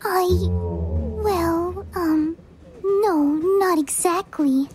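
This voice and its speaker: A woman playing a timid, gentle young female pegasus pony in a fan cartoon, speaking earnestly. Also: very high voice, wildly swooping pitch, very slow, exaggerated voice. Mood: sheepish.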